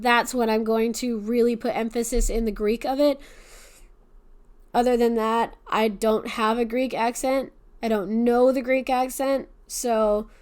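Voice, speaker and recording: A woman's voice: fairly high pitch, a little mellow. A woman hosting a podcast, casually retelling a Greek myth with profanity and sarcastic asides. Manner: swears freely, laughs, adds sarcastic asides. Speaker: podcast host.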